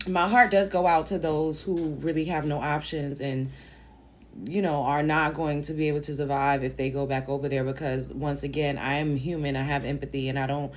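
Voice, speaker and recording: Woman host, Brooklyn accent, low voice, blunt rambling commentary. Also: steady tone, moderate pace, darkish tone. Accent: Brooklyn, New York. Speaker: reaction video host. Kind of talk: casual commentary monologue.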